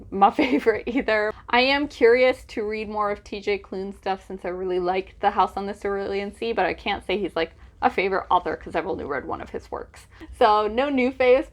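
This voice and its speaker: A young woman chatting casually in a book vlog, with a gushing, giggly, self-deprecating manner. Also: medium pitch. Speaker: book reviewer vlogger.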